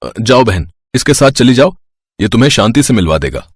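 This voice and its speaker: A man's voice: mid-range voice, very animated, fairly quick, husky, no echo, studio quality. Plain-spoken, kindly eatery owner played by a man. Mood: helpful. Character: kindly, matter-of-fact male roadside eatery owner.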